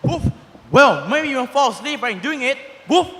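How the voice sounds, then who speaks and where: Man as a blunt dog, woof at the start and end, very high voice, echoey hall, faint noise. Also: expressive, moderate pace, fairly bright tone. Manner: barks a woof before and after each line. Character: blunt male dog, plain-spoken.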